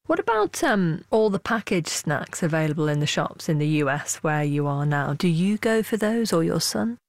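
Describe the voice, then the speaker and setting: A woman presenting a radio programme, narrating and interviewing in a crisp, playful way. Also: sits low, very animated, normal speed, no echo, clean studio sound.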